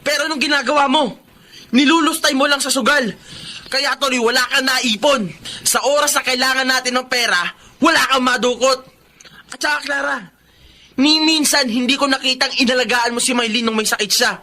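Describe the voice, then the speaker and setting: A man's voice: very high voice, steady tone, fairly quick, sharp tone, full character voice. A man acting an aggrieved, weary husband and father in a Tagalog radio drama, with emphatic delivery. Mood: accusing, bitter.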